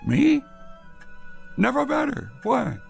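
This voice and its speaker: An actor playing a doting, stubborn old grandfather and clockmaker in a radio drama, with a gravelly voice and a halting, fussing manner. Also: very high voice, huge pitch swings, soft background music, exaggerated voice. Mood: evasive, cheerful. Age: older. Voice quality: gravelly, creaky. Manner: halting, fussing, dismissive of worry.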